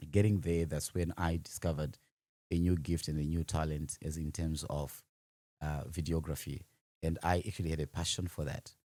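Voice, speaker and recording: A man's voice: rumbling low, steady tone, moderate pace, warm tone, no room sound. A man with a Zimbabwean accent speaking as a podcast guest, answering interview questions conversationally about his own work. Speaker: podcast guest, audiovisual and livestreaming business founder.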